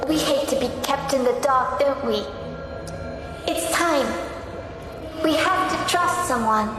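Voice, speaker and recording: Ghostly young sister, high gentle voice, formal n earnest, loads of echo. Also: female voice, takes it slowly. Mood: solemn, resolute. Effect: long ethereal reverb. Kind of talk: dark fantasy game cutscene acting. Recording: heavy echoing reverb, music behind.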